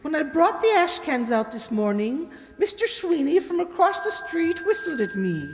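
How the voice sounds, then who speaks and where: Woman acting high-voiced coy matron. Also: very animated, moderate pace, big-room sound. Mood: coy, gleeful. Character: coy, flirtatious, brassy middle-aged Irish landlady. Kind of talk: old-time radio comedy acting.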